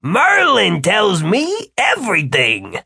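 A man's voice: sits high, wildly swooping pitch, takes it slowly, creaky, bright, forceful tone, husky, no echo, studio quality, pitched above the performer's own voice, exaggerated voice. A man voicing a theatrically courteous male castle receptionist in an audiobook, formal and drawn out, with forced joviality. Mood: smug.

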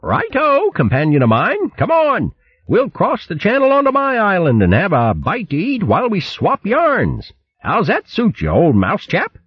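A man's voice: high-pitched, huge pitch swings, measured pace, slightly rough, dry recording, voice pushed up, full character voice. A man voicing a jolly, boastful male hare who blusters with old-fashioned exclamations. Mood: blustering cheer. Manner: hearty, blustery, full of "what, what" bluster. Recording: muffled lo-fi recording.

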